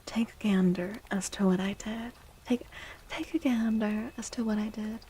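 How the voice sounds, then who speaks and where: A woman livestreaming soft-spoken ASMR chat in a playful, unhurried way, with a breathy, often whispery voice close to the mic. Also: medium pitch, steady tone, a little hiss or hum. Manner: playful, unhurried, repeats phrases. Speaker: livestream host.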